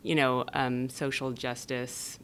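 Low-voiced woman, rambling and animated. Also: takes it slowly, background noise. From born in Denmark, raised in California. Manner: animated, rambling, with many fillers and laughs. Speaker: guest, activist and performance artist. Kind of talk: casual conversational interview.

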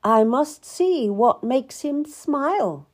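A proud, wronged queen, played by a woman narrating a historical story, bitter and imperious. Her voice sits high and swings emphatically, with slow, hard-stressed words. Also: animated, exaggerated voice. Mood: suspicious.

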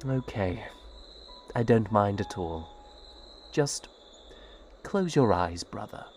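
An actor playing a gentle, guilt-ridden older brother in a fantasy audio drama, speaking in a hesitant, earnest way. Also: male voice, lowish voice, takes it slowly, soft background music. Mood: tender. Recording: insect ambience with steady background noise.

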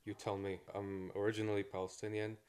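A man's voice: lowish voice, level tone, fast talker, a little mellow. A man in his twenties from Kuwait, a podcast guest talking in an easygoing, laid-back way. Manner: easygoing, laid-back, with frequent fillers and short laughs. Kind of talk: casual interview chat.

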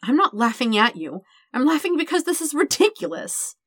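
A woman's voice: high voice, sing-song, normal speed, no echo, studio quality, pitched above the performer's own voice. A woman voicing a casual, amused and tender young man in love in a story, speaking plainly and informally.

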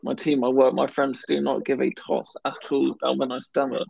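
A man's voice: medium pitch, completely flat delivery, brisk, gravelly, darkish tone, breathy edge, lo-fi sound. A man in casual conversation who stammers, blocking on some words and often restarting. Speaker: guest talking about his stammer. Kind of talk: casual chat.

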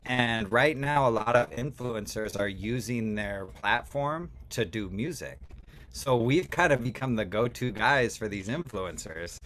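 A man's voice: lowish voice, expressive, measured pace, fairly bright tone. Chatty man on a podcast, background noise. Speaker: guest music producer. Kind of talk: casual podcast chat.

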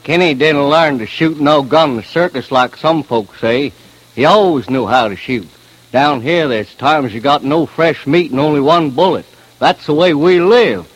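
A man's voice: fairly high pitch, normal speed, low-quality recording. A man with a Southern US accent giving a folksy eyewitness account on old radio. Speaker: local eyewitness.

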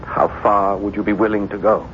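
A man's voice: little pitch movement, brisk, fairly bright tone, natural voice. A man playing a tormented, obsessed writer in a 1970s radio drama, brooding and argumentative in a theatrical way. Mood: weary, bitter. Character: tormented male French writer, obsessed with an unseen evil. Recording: dull 1970s radio broadcast, faint rumbling noise.

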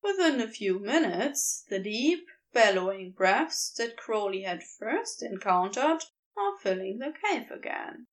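A woman reads a story aloud, taking her time over each line. Her voice sits a little high and rises and falls a lot. The telling stays calm and expressive throughout. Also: a little slow. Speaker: podfic reader. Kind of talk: scripted narration of fan fiction.

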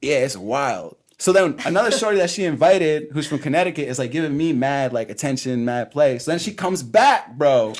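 A man's voice: fairly high pitch, brisk, hardly any pauses. A man in his twenties telling a story in casual, slangy chat, often laughing as he goes. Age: 20s. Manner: animated, slangy, laughs through his stories. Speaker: podcast co-host.

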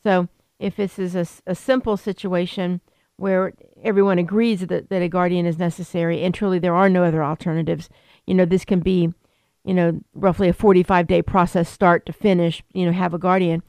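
A woman's voice: lowish voice, little pitch movement, a little fast, a little mellow, no room sound. A woman attorney explaining elder law on a radio talk show, rambling with many fillers and restarts. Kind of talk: radio interview.

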